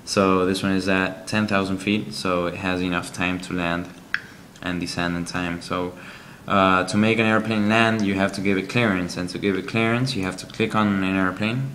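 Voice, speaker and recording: A man reviewing a game app, walking through its screens in a halting, explanatory way with frequent ums. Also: deep voice, steady tone, a bit bright, a little hiss or hum.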